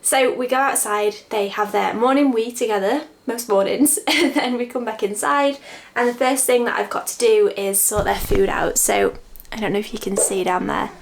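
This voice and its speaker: A woman's voice: medium pitch, fairly even intonation, normal speed, gravelly, slight background noise. A young woman vlogging casually about her day with her cats, a little sniffly from a cold and laughing often. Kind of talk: casual vlog narration.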